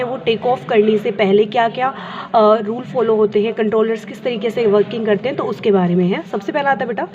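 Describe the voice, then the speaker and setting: Female teacher, higher-pitched, methodical classroom explainer who poses and answers questions; noisy audio. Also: darkish tone. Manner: teacherly, asks and answers own questions, mixes in English terms. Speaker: school computer teacher. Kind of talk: explanatory lesson, reading and paraphrasing textbook. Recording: dull lo-fi with clear background noise.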